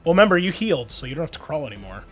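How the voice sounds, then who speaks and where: A man's voice: fairly high pitch, very animated, rapid-fire. A man acting as game master, narrating scenes and explaining rules in a tabletop roleplaying game. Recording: background music under the voice. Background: music.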